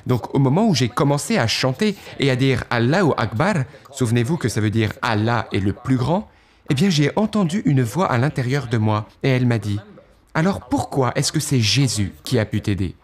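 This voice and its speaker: Man for an earnest testimony read, mid-pitched, very animated intonation, dramatic pauses. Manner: earnest, recounting past thoughts with dramatic pauses. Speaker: guest giving a testimony. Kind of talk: first-person testimony storytelling.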